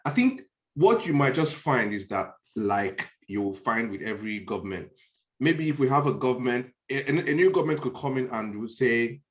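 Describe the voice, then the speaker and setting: A man's voice: mid-range voice, animated, normal speed. A man with a Nigerian accent in a conversational political discussion, speaking emphatically and repeating phrases as he reasons. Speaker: podcast discussion panelist. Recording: roomy, echoey online call.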